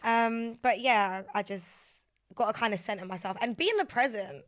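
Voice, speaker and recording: A young woman from London, a radio and TV presenter, talking candidly as a podcast guest. Her voice is raspy today from being out a lot, and she laughs easily. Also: on the high side, normal speed, fairly bright tone. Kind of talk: casual podcast interview.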